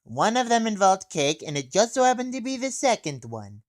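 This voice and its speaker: A man voicing a brash, wisecracking counter-terrorist operator, teasing and excitable, in a comedy review. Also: high-pitched, normal speed, voice pushed up. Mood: smug, excited. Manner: loud, teasing, calls people comrade.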